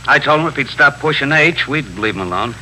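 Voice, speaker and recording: A plain-spoken police patrolman in a 1950s radio drama. His quick, mid-pitched voice reports facts respectfully in clipped phrases. The vintage recording has faint background noise. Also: expressive, bright, forceful tone. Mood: dutiful. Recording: noisy 1950s radio broadcast recording with hum.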